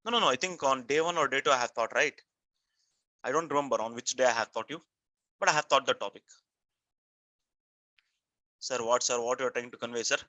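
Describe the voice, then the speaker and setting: A man's voice: mid-range voice, fairly quick, pauses often, bright, forceful tone, dry recording. A man with an Indian accent teaching a live online class, repeating himself and prompting students with frequent questions in an emphatic, step-by-step way. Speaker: software testing instructor.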